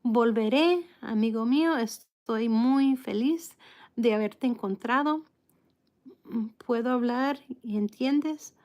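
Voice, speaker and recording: Slow-talking American woman with slightly impeded speech. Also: fairly high pitch, a little mellow. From United States. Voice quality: slightly impeded articulation from a false tooth and past stroke. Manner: halting, unhurried, greeting viewers by name. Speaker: live stream host. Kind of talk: casual live chat with viewers.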